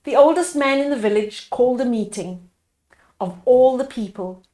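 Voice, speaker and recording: A woman reading a children's picture book aloud as a storyteller, pausing to explain the pictures to young listeners. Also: fairly high pitch, warm tone. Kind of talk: read-aloud storytime narration with asides to children.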